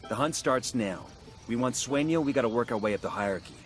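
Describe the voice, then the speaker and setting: An actor playing a resolute special forces squad leader in a video game, clipped and businesslike. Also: male voice, medium pitch, lively intonation, brisk, fairly bright tone, close to an everyday voice. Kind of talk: video game military dialogue. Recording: game music behind.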